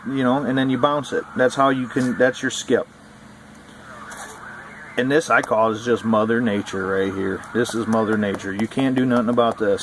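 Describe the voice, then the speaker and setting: A man of ordinary pitch talks through a hobby topic in an off-the-cuff, rambling way, circling back and repeating points with plenty of fillers. A faint hum of a vehicle or ambience sits under the voice. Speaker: CB radio hobbyist vlogger. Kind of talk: casual explainer talk.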